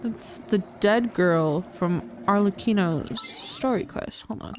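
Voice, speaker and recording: A woman's voice: mid-range voice, slow, soft background music. A woman streaming a video game, reacting aloud in casual, exclamatory commentary. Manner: exclamatory, talking back at the game. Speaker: live streamer.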